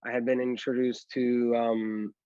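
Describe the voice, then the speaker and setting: A man's voice: medium pitch, level tone, a little slow, warm tone, clear, resonant tone, no echo, highs cut off. A man, a filmmaker telling his story in a casual podcast interview and leaning on "like" and "you know". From Miami, Florida. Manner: chatty storytelling, heavy use of "like" and "you know". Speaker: guest filmmaker.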